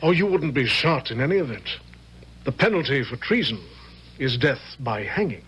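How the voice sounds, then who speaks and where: A man as a grave general, animated intonation, vintage radio. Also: medium pitch, highs cut off, natural voice. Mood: firm. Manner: measured, authoritative, questioning. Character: grave, probing, thoughtful male army general. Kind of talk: 1970s radio drama acting. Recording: noisy vintage radio recording with hum.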